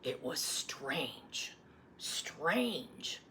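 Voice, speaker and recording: A gentle old grandfather played by a woman storyteller, low and unhurried. He sounds solemn and hushed as he tells his storybook tale, with noticeable noise behind the voice. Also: very animated, full character voice. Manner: slow, grave, hushed storytelling. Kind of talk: storybook read-aloud.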